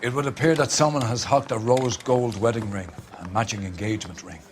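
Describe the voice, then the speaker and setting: An actor playing a gruff, sardonic older police captain giving orders in a naturalistic video game cutscene. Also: male voice, mid-range voice, steady tone, fairly quick, background noise, natural voice. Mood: brisk, commanding.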